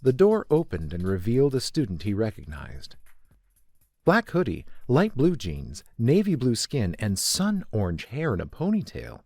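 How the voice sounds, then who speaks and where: A man's voice: medium pitch, huge pitch swings, normal speed, gravelly, a little mellow, dry recording, clean studio sound. A man reading scripted story narration as the narrator.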